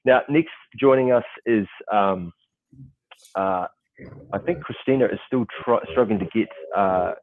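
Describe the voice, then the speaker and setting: A man's voice: on the low side, unhurried, phone-quality sound, slight background noise. A New Zealand man in his forties, moderating an online panel in a loose, chatty way with lots of "uh" fillers. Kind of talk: casual online panel hosting. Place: client's office in Seattle, crew setting up.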